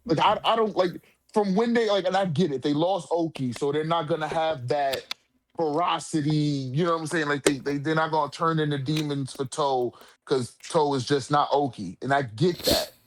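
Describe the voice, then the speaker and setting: A man co-hosting a casual discussion, talking in profane slang and often repeating phrases haltingly as he goes. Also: a little high, slight background noise. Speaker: co-host of a manga discussion. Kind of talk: casual chat.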